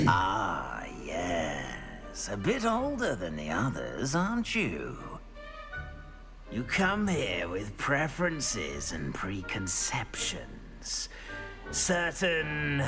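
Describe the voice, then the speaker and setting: An actor playing an ancient enchanted talking hat in a video game, musing shrewdly in drawn-out, deliberate phrases. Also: male voice, on the low side, huge pitch swings, full character voice. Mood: pondering. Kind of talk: cinematic video game acting. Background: orchestral game music.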